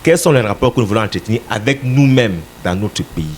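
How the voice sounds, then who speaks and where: A man speaks in a fairly deep register, pressing his points with insistence as he answers an interviewer. He sometimes stumbles and repeats words as he searches for the next one. A faint hiss sits under his voice. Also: fairly quick. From Cameroon. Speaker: political party representative.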